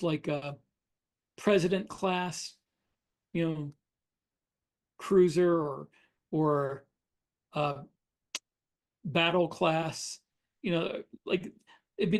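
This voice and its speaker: Guy with a bit of a higher voice just talking shop on a podcast, matter of fact. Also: slow pace, long pauses. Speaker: podcast co-host, science fiction author. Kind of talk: casual chat about writing craft.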